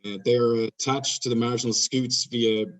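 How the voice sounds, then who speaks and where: A man's voice: medium pitch, level tone, moderate pace. A man with an Irish accent, a PhD researcher giving an informal scientific presentation over a video call. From Ireland. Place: remote online video conference. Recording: distant-sounding video call microphone.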